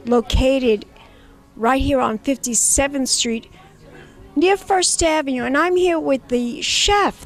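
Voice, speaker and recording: Woman tv host from brooklyn, really high voice, gushy and emphatic, interviewing people in a restaurant. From Brooklyn, New York, United States. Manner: gushing, emphatic, calls people darling. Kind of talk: on-location interview to camera. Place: inside a restaurant.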